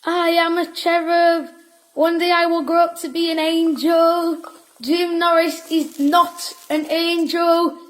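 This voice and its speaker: A woman's voice: very high-pitched, flat delivery, slow, low-quality recording. A woman playing a teasing, playful working-class child in a Victorian audio drama, with clipped, informal speech.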